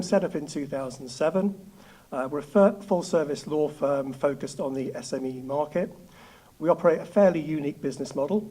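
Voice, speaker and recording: A man from Spain giving a prepared business talk to an audience, explaining his points in a measured, structured way. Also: mid-range voice, darkish tone. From northwest Spain. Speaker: law firm founder giving a talk.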